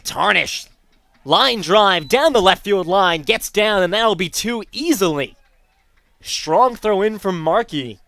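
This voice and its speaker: A man with a fairly high-set voice works as a baseball play-by-play commentator. He describes the game in a live broadcast style. The sound is very dry, with no room around the voice. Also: animated, takes it slowly, gravelly, pushed and bright, no echo.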